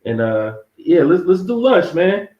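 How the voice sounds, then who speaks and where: A laid-back man with a Southern US accent spins loose travel stories, full of slang and quick laughs. His voice comes through a muffled remote call and rings in an echoey room. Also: a little high, sing-song, mellow. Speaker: interview guest. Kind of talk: casual interview chat.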